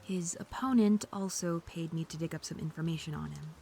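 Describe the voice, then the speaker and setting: Woman acting a low-voiced, snarky female hacker, noisy audio. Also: a little fast, gravelly, dark tone. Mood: dry, sullen. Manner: sarcastic, indignant, defensive. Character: snarky, defensive female hacker suspect under interrogation.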